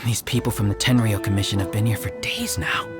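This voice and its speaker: A man's voice: lowish voice, fairly even intonation, a little fast, close to an everyday voice. An actor playing a suspicious, gossiping male townsperson in a video game, conversational and muttering. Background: loud game music.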